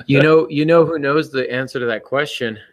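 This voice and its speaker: A man's voice: mid-range voice, brisk, dull audio, over a noisy crowd. A man hosting a casual podcast chat in a joking, bantering way. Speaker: podcast host.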